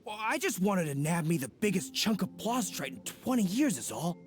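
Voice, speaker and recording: An actor playing a young masked apprentice thief who is bumbling and folksy, speaking with a slangy drawl. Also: male voice, sits high, sing-song, normal speed, over music. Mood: scheming. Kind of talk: video game voice acting.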